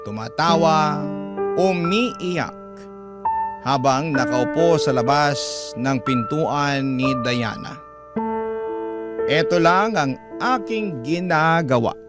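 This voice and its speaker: A man narrating a listener's letter in the first person, in an emotive classic radio style. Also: on the high side. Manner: emotive, addresses the letter to the host. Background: loud guitar music.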